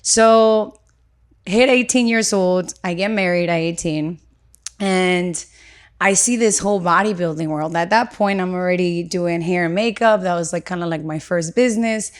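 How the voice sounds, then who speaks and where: A woman from Venezuela, a podcast guest in casual roundtable conversation, earnest and lively, tagging her sentences with "you know" and "right.". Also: on the low side, moderate pace, smooth. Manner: lively storyteller, slips into quoted voices.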